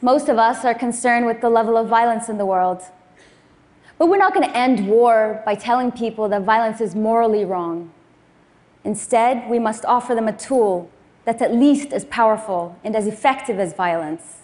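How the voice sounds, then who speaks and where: A woman's voice: fairly high pitch, a little mellow. Earnest woman giving a prepared talk. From Afghanistan. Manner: measured, earnest delivery. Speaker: speaker.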